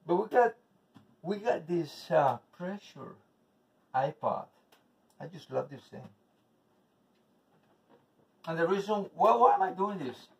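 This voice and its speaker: A slow-talking, gravelly man with a Spanish accent cooks in his home kitchen, rambling and cursing bitterly as he goes. The camera sits across the counter, so he sounds a little distant, with a faint hiss behind him. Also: on the high side, animated, highs cut off. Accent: Spanish-speaking, English as second language. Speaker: home cook vlogger. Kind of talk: casual cooking video with ranting. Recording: voice from off-mic with faint noise.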